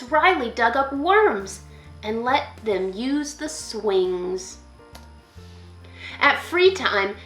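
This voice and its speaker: A woman reading a children's picture book aloud as a school librarian, expressively, pausing to put questions to young listeners. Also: on the high side, fairly bright tone, roomy sound.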